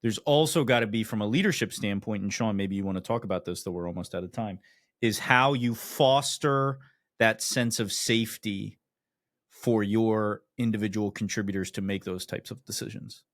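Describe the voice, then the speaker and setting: A man's voice: on the low side, moderate pace, no room sound, studio quality. A man hosting a podcast, easygoing and reflective as he steers the talk. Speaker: podcast host. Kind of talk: casual discussion.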